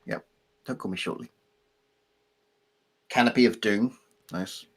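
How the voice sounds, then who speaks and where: A man's voice: lowish voice, unhurried, fairly bright tone, breathy edge. A man with an English accent, originally from Belgium with French as his first language, co-hosting a casual live stream in a rambling, dry, self-deprecating way. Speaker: co-host.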